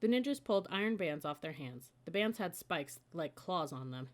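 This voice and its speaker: Low-pitched woman reading a children's storybook. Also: animated, moderate pace. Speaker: audiobook reader.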